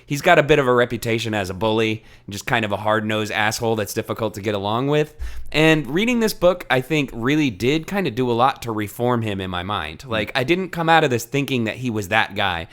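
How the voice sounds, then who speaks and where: A man's voice: medium pitch, lively intonation, brisk, a bit bright. A man hosting a podcast, talking casually through a book discussion in a conversational way. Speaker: podcast host.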